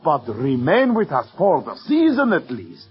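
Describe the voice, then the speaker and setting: An actor playing an agitated, cynical opera impresario who pleads and scolds in a 1940s radio drama. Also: male voice, on the high side, wildly swooping pitch, a little slow, faint music behind. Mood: pleading. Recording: muffled vintage radio recording.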